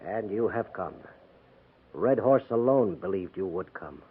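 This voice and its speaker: Low, solemn tribesman. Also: lively intonation, measured pace, slightly rough, full character voice. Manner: formal, stately phrasing. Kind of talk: 1950s radio drama acting. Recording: tinny, slightly noisy 1950s radio recording.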